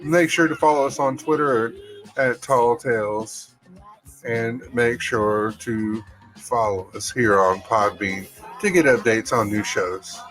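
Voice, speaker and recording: A man with a mid-pitched voice hosts a podcast. He talks in a casual, folksy manner, moving between reading aloud and chatting to his listeners. Music plays softly behind him. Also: fairly even intonation, takes it slowly, poor audio. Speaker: podcast host.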